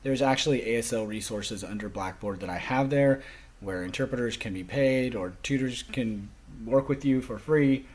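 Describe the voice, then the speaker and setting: Husky man giving a class lecture. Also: mid-range voice, moderate pace, a little mellow.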